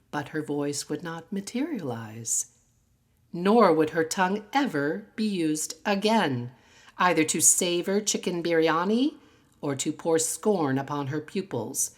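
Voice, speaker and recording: A woman narrating a literary short story in an expressive, dramatic storytelling manner. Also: low voice. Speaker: story reader.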